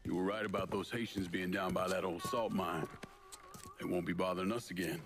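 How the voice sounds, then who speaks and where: An actor playing a tough young war veteran, terse and steely, in a dramatic game cutscene. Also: male voice, sits low, steady tone, fairly quick, lightly acted. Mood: calm, terse. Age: young adult.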